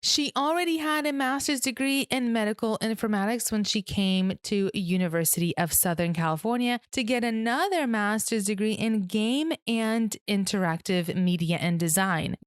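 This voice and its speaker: A woman's voice: mid-range voice, moderate pace, few pauses, smooth, no echo, studio quality. A woman hosting a podcast, reading a prepared introduction and then interviewing a guest over a call. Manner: reads a prepared introduction, then asks questions. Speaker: podcast host. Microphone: remote call recording.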